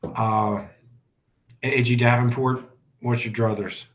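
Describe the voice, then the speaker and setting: An American man chairing a formal hearing, speaking in a measured, courteous, procedural way. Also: mid-range voice, flat delivery. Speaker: commission chair. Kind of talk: formal procedural meeting talk.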